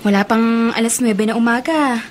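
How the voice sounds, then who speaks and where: A woman's voice: a little high, brisk, a little hiss or hum, natural voice. An actress playing a friendly, chatty, inquisitive young woman in a radio romance drama. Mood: friendly, teasing. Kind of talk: naturalistic radio drama dialogue.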